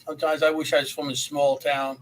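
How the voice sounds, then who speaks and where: A man's voice: a little high, flat delivery, fairly quick, a little hiss or hum, rough recording. A man from Queens with a New York accent co-hosting a casual chat, laid-back and wry. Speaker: podcast co-host.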